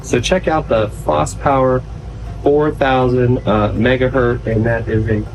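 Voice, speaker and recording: A man's voice: medium pitch, steady tone, unhurried, slight background noise, poor audio. A man giving a casual, conversational product demonstration as a video reviewer.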